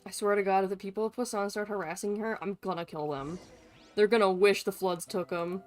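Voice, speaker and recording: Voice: mid-range voice, steady tone, brisk, faint music behind. A streamer giving casual, blunt, sweary commentary while playing a video game.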